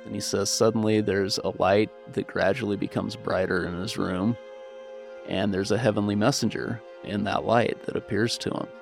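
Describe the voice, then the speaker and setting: Gravelly man explaining history. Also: lowish voice, steady tone, normal speed, faint music behind. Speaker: historian guest. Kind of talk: conversational explanatory interview.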